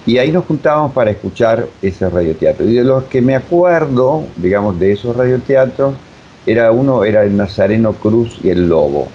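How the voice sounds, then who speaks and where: An older man with an Argentine accent reminiscing in a casual interview, halting and repeating himself. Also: fairly low pitch, dark tone. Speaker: radio drama director, interview guest. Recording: dull remote sound with faint noise.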